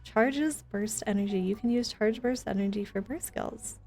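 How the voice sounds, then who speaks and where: A woman with a soft, mellow voice talks casually on a game livestream. Her manner is playful and teasing, and music plays faintly behind her. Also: medium pitch, moderate pace. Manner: playful teasing banter, gasps at discoveries. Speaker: game streamer. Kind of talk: casual livestream gaming chat.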